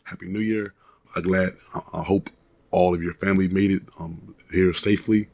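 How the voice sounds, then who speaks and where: A man in his thirties, hoarse from a cold, casually reviewing a microphone in a rambling way, with many restarts and fillers, recorded on a desk USB mic in a small room at home. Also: deep voice, steady tone, moderate pace, darkish tone. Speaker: product reviewer. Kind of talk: casual product review vlog. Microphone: desk condenser USB mic.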